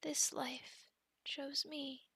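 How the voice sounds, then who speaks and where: Possessive lovestruck she-werewolf, played by a woman, ASMR roleplay. High, very creaky whisper, deliberate and coaxing with quiet menace. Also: little pitch movement, no room sound, heavily acted. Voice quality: breathy whisper with creaky edges.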